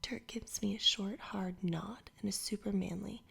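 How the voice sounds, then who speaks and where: A woman reading a children's adventure story aloud entirely in a soft whisper, in ASMR style. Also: medium pitch, fairly even intonation, normal speed, gravelly, breathy edge. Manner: whispers throughout. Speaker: storybook reader.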